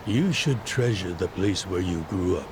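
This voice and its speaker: An actor voicing a calm, fatherly middle-aged yakuza boss, measured and gently admonishing, in an English-dubbed game. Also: low-pitched, noisy background.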